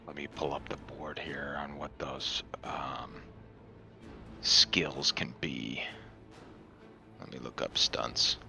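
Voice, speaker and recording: Male tabletop player, extremely low and creaky, unhurried, thinking out loud. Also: fairly even intonation, over music. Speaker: player in a tabletop roleplaying game. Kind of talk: casual game-table talk.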